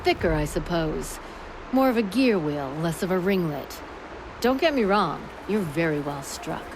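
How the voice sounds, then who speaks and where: A woman's voice: on the low side, animated, unhurried, noisy background. A woman playing a bold, flirtatious, earthy metalsmith in a video game, frank and playful. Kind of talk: video game cutscene acting.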